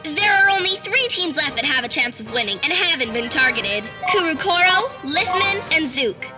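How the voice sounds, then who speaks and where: A dry, high, lively cartoon teammate. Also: female voice, normal speed, music in the background. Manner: matter-of-fact, dry. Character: sensible young woman teammate, dryly level-headed. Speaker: team member. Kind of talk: animated cartoon dub.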